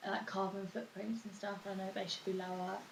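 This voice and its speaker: A woman's voice: medium pitch, level tone, a little fast. A woman answering interview questions in a casual, tentative way, padded with filler words. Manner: tentative, filler-heavy "like" phrasing. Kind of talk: casual chat. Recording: echoey room with faint hiss.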